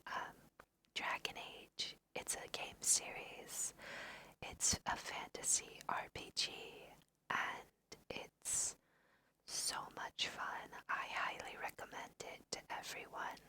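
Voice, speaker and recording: A young woman whispering gently and softly in an unhurried ASMR style as she guides listeners through a video game. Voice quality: soft breathy whisper. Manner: gentle, unhurried, hushed guiding. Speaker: host of an ASMR gaming video. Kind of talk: ASMR let's play commentary.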